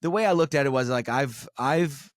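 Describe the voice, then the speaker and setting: Middle-aged man, animated and wry, dry close sound. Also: medium pitch, normal speed, no echo. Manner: animated, profane, wry philosophizing with colorful comparisons. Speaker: podcast guest. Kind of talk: casual chat, rambling storytelling.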